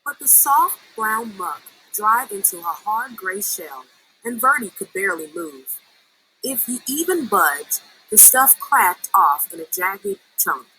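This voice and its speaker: A woman reading a children's story aloud in an expressive storytelling style. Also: mid-range voice, slow pace, faint music behind, rough recording. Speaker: story reader.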